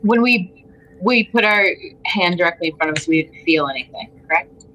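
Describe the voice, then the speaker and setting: A woman's voice: fairly low pitch, normal speed, bright, forceful tone, slight background noise, rough recording. A woman chatting casually as a guest player in an improvised story game, talking through her moves. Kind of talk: casual chat while playing a game.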